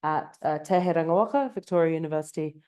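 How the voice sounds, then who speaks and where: A low-pitched woman from England gives an academic seminar talk. She speaks hesitantly and fills her pauses with ums and uhs. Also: mellow, clear, resonant tone. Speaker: guest speaker, postdoctoral researcher.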